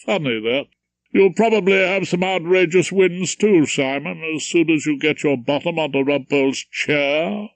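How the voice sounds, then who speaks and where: A man's voice: on the high side, moderate pace, dry recording, pitched above the performer's own voice, natural voice. A man voicing an overbearing male judge in his sixties who speaks in a brusque, mirthless way.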